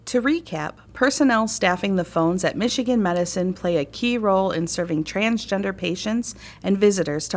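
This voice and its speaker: An American woman narrates a staff training course. Her voice is a little low, and she reads in a measured, formal, instructive manner.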